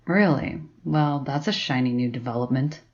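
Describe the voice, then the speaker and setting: A woman's voice: very deep voice, normal speed, a little mellow. A woman playing an exasperated, suspicious librarian in a fiction podcast, sardonic, sweary and grumbling. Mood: dry, dismissive. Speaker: actor in an audio drama. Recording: dull lo-fi sound with clear noise.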